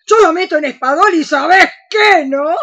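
A woman's voice: very high voice, moderate pace, a bit bright. An actress playing a blunt, brash, tipsy older Argentine woman in a comic radio play, curt and repetitive, on dull audio.

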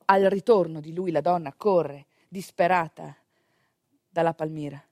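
A woman actress telling a story as a theatrical monologue, expressive, colloquial and confiding, with a northeastern Italian accent. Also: sits low, measured pace, dry recording, clean studio sound.

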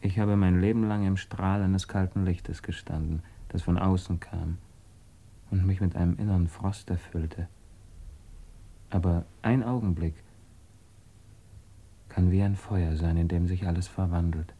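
A proud, troubled suspect in a radio drama. His voice is on the low side, and his formal delivery is intense and strained, as of someone under questioning. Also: steady tone, measured pace, long pauses, dark tone. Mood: resigned, solemn. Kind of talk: German radio drama acting.

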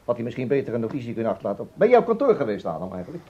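A man's voice: mid-range voice, wildly swooping pitch, racing, slightly rough. An actor playing a flustered, exasperated superior in a Dutch radio play, stammering and insistent, repeating his words. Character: flustered, exasperated male radio superior, eager to smooth over. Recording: muffled, dull radio play recording.

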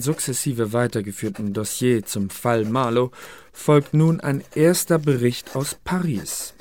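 A man acting as a bureaucratic spymaster reads from his secret dossier in a radio play. His voice sits at a medium pitch and is dry, official and officious. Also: a little mellow, lightly acted.

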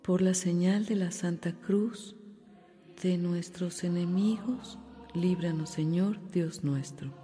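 A woman's voice: lowish voice, slow, mellow, soft background music. An actress with a Mexican accent playing a loving, devout mother in a radio drama, tender and reverent. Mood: prayerful.

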